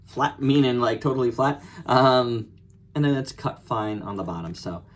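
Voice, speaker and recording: A man hosting a casual, unscripted toy review, thinking aloud in short, halting phrases. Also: medium pitch. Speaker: toy reviewer and channel host. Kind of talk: casual, unscripted hands-on product review commentary.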